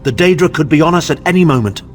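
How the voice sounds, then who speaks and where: A man's voice: a little high, lively intonation, quick pace, soft background music, close to an everyday voice. An actor playing an impatient, haughty, self-serving male mage in a fantasy game, with a sardonic, pompous, clipped delivery. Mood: wry, grave.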